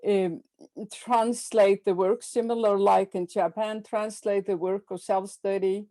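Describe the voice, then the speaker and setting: Woman presenter, mellow tone, webinar slides over video call, halting with repeats. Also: medium pitch, fairly even intonation. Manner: halting, frequent fillers and repeats. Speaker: webinar presenter introducing book chapters.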